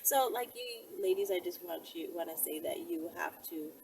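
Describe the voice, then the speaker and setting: Female self-defense vlogger, lowish voice, conversational and direct, recorded away from the mic. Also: lively intonation, normal speed, gravelly. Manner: conversational, addressing viewers directly. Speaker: self-defense video presenter. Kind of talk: casual instructional talk to camera. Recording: distant mic, faint background noise.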